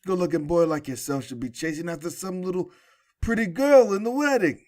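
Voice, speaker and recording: A man's voice: sits high, a little fast, a little mellow, natural voice. A man playing an older mob boss father, fond but patronizing, in a comic book audio drama.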